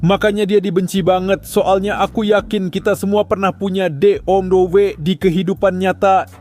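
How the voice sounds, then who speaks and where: A man's voice: sits high, steady tone, moderate pace, a little mellow, soft background music. A man giving comic, sarcastic scripted narration with an exaggerated ranting delivery.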